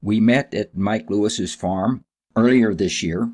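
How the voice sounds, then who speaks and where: Low-voiced male podcast host. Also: a little slow, a little mellow. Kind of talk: scripted show intro, then interview questions.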